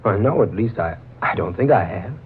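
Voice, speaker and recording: A man's voice: lowish voice, lively intonation, fairly quick, close to an everyday voice. An actor playing a courteous, puzzled man, hesitant and musing, in a 1940s radio drama. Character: courteous, puzzled gentleman haunted by odd familiarity. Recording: muffled, crackly old 1940s radio recording.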